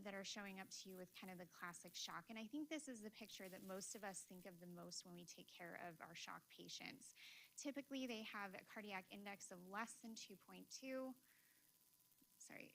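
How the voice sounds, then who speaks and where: A woman cardiologist giving a medical lecture in a hall, speaking softly and explaining step by step while asking the audience questions. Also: medium pitch, a little hiss or hum. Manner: soft-spoken, explanatory, asks the audience questions.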